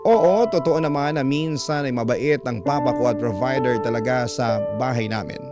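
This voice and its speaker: A man's voice: mid-range voice, moderate pace. A man with a Filipino accent reading a letter aloud as dramatic scripted radio storytelling. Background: background music.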